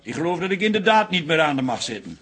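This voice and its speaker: An actor playing a tired, blunt, bewildered husband in a radio drama, middle-aged. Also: male voice, fairly high pitch, very animated, fast, a bit bright, husky, full character voice. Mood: grumpy, dry. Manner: blunt, grumbling, tactless.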